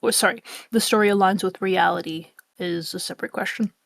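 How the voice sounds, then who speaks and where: A woman's voice: mid-range voice, fairly even intonation, fairly quick, creaky, no room sound, studio quality. A woman chatting casually as a stream co-host, choosing her words thoughtfully and with some hesitation. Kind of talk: casual chat.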